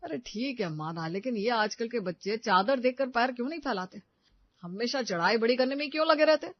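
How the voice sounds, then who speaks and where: A man's voice: very high voice, animated, brisk, no room sound, muffled recording. A man playing a grumbling but indulgent middle-aged husband and father in a moral story.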